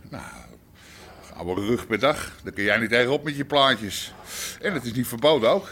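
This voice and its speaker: An actor playing a blunt, proud old-school crime boss with an Amsterdam accent in a naturalistic radio drama, gruff, curt and full of street slang. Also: male voice, medium pitch, normal speed, a bit bright, lightly acted. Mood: boastful, dismissive.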